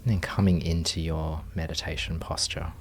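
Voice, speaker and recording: A man leading a guided meditation as a teacher, speaking gently in short phrases with unhurried pauses. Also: very deep voice, fairly even intonation, a little hiss or hum.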